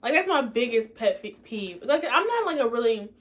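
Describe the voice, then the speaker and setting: Young woman, soft voice, hesitant, higher pitch. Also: very animated, normal speed. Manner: soft-spoken, hesitant, thoughtful. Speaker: co-host. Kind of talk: casual chat while eating.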